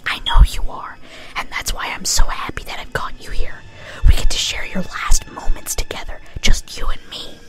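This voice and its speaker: A woman's voice: extremely high pitch, completely flat delivery, normal speed, voice pushed up. A woman voicing a giggly, murderous female party pony in a whispered storybook reading, sweetly chatty and casually menacing. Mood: cheerful menace.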